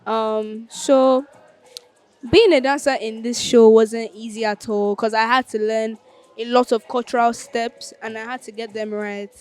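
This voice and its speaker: High-voiced young Nigerian woman. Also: fairly even intonation, a little slow, a little mellow. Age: teenager or young adult. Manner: conversational, frequent "like". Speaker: student dancer in a school play. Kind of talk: informal interview testimonial. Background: music.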